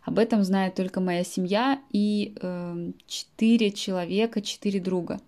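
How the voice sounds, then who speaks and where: Mellow-voiced woman explaining slowly to learners. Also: medium pitch, steady tone. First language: Russian. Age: late 20s. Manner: explains words in simple terms, with frequent soft fillers. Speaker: Russian language teacher. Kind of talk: podcast monologue for language learners.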